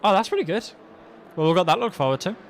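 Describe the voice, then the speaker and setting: A man's voice: sits high, animated, rapid-fire. A British man giving live sim racing commentary on a livestream, mixing it with matey, jokey, colloquial banter. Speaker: esports livestream race commentator. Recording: noisy stream with car engine sound.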